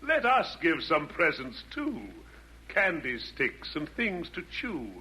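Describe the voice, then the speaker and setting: An actor playing a gleefully wicked Roman emperor demon, deliberate and sing-song in a 1940s radio style. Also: male voice, on the high side, sing-song, sharp tone, heavily acted, poor audio. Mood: sly, gleeful. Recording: old 1940s radio broadcast.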